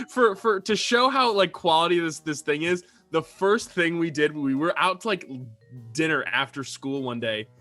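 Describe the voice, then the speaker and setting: A man's voice: a little high, fairly bright tone. A young man in casual podcast banter, teasing and often restarting his sentences. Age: young adult. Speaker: podcast guest.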